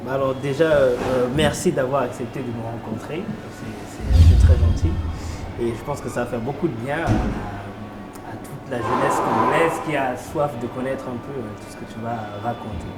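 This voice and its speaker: A man's voice: mid-range voice, normal speed. A man hosting a casual interview, asking rambling questions that he repeats and rephrases. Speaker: interviewer, channel host. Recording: roomy echo, music underneath.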